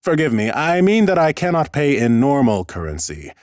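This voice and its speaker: A man's voice: medium pitch, very animated, moderate pace, no echo, clean studio sound, pitched above the performer's own voice, close to an everyday voice. A man voicing an ancient, mighty lich in a fantasy audiobook, bookish and courteous, with a formal, pompous manner. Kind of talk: audiobook character acting.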